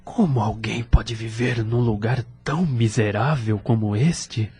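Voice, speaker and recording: An actor with a Brazilian accent playing a courteous, lonely, grieving widower in an audio drama, speaking in a formal, halting way. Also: male voice, lowish voice, fairly even intonation, dark tone, breathy edge. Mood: troubled, musing. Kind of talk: Portuguese-language audio drama acting.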